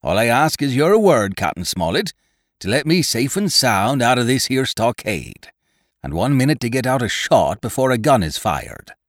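A man voicing a cheerful, cunning pirate captain in an audiobook adventure story, hearty and full of rough sailor's turns of phrase. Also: medium pitch, very animated, moderate pace, dry recording, clean studio sound, voice pushed up. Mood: cheerful, bargaining. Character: Long John Silver, cheerful cunning one-legged pirate captain.

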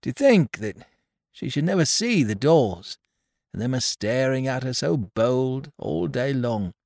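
A man's voice: mid-range voice, very animated, measured pace, gravelly, a little mellow, dry recording, studio quality, pitched above the performer's own voice. A man voicing a fussy, anxious little old toymaker in a read-aloud story. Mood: anxious eager. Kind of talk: storybook character voice.

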